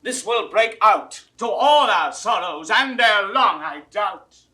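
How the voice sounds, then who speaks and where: A man's voice: high-pitched, lively intonation, slow pace, sharp tone, slightly rough, full character voice. A man acting an earnest, indignant English nobleman in a classical Shakespeare audio drama, declaiming with emphatic rhetoric. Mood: bitter, indignant.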